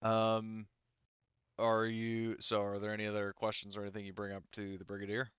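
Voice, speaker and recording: Man, game master, halting and repetitive, clear smooth tone, dry, slightly dull recording. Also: fairly low pitch, monotone, fairly bright tone, no echo. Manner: halting, hesitant phrasing with repeats. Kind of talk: narrating a tabletop roleplaying game.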